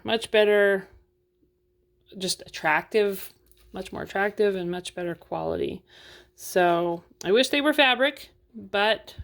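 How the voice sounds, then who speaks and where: A woman's voice: medium pitch, creaky. A woman chatting casually through a doll unboxing review, frank and full of fillers, while losing her voice.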